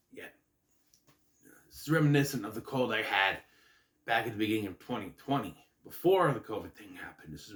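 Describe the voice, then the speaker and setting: Casting: man host getting over a cold, a little nasal, chatty and self-interrupting, lively pitch, echoey room at home. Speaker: host of a wrestling review channel. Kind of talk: casual rambling vlog intro.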